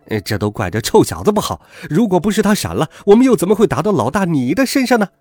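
A man's voice: high-pitched, sing-song, quick pace, darkish tone, husky, no echo, soft background music, voice pushed up, heavily acted. A man voicing a schoolboy bully's sidekick, cocky but cowardly, with sneering, whining delivery. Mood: frightened, pleading. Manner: sneering bluster, whining excuses.

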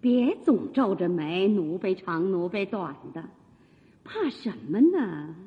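Haughty scheming empress, really slow n dramatic, radio drama, muffled and echoey. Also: female voice, mid-range voice, very animated. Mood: coaxing, haughty. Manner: haughty, coaxing, commanding. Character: imperious, scheming empress, falsely kind, commanding woman. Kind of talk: theatrical Chinese radio drama acting.

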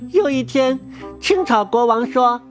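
A woman narrating a children's story in an expressive storytelling manner. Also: high voice, a little slow. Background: music.

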